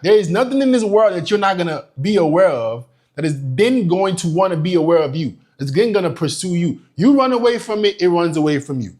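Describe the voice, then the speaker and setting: A man's voice: high voice, lively intonation, fairly quick, few pauses, darkish tone. A young man from Nigeria giving a motivational business talk, emphatic and profane, hammering points home by repeating them. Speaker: business coach.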